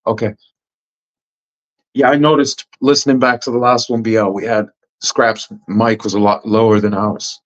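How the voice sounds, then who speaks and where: A loud-voiced man hosting a casual panel discussion over a video call. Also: flat delivery, normal speed, muffled recording. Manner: loud, booming voice. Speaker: host. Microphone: computer mic on a video call.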